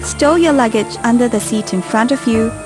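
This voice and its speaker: A woman giving a scripted safety briefing in a clear, calm instructional way, recorded as a studio voice-over. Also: a little high, moderate pace. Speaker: safety video narrator. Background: music bed.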